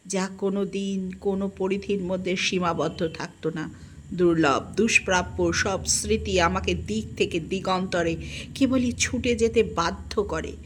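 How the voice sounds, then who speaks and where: A woman reads a first-person literary story in an expressive, melancholic and dramatic manner. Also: mid-range voice, slight background noise. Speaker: audiobook narrator.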